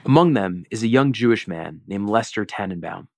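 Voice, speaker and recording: A man narrating a historical documentary in a measured, solemn, scripted way with dramatic emphasis. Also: lowish voice, lively intonation, gravelly, dry recording.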